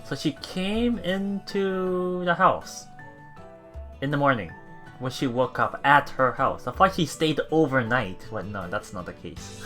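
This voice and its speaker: A man hosting a let's play, reading game text aloud and commenting casually in a halting, think-aloud way. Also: medium pitch, lively intonation, bright, forceful tone. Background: game music.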